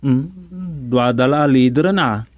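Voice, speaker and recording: A man's voice: mid-range voice, a little slow, no room sound, lightly acted. An actor playing a flustered comic village errand man in an Ilocano radio comedy drama. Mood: uneasy.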